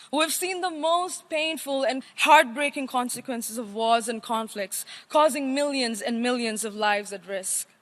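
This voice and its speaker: A young woman from Nepal speaks in a high, clear register as she delivers a prepared welcome address. Her delivery is earnest and measured, stacking phrases into lists. The sound carries a long reverb from a large assembly hall. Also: fairly bright tone. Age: young adult. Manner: earnest, deliberate oratory with listed phrases. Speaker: youth chair giving a welcome speech. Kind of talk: formal prepared speech.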